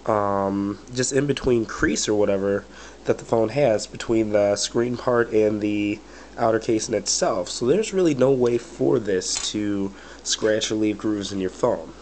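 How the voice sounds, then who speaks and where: A man's voice: lowish voice, unhurried, a little mellow, slight background noise. Man with a cold, casual rambling. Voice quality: slightly cracky voice. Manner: rambling, many fillers like "but anyway" and "or whatever". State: has a bad cold. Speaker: product reviewer. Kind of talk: casual product review vlog.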